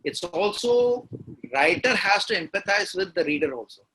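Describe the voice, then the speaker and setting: A man's voice: high voice, lively intonation, moderate pace, pushed and bright, a little hiss or hum, low-quality recording. A man with an Indian accent, a guest in an interview, talking emphatically and laying out his points one by one, often repeating key words. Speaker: guest writer. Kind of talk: interview talk on storytelling.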